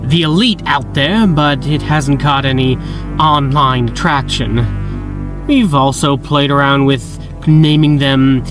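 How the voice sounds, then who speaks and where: A man's voice: medium pitch, slow, over music, natural voice. A man playing a deferential scientist reporting to a villainous boss in an audio drama, with a presentational manner.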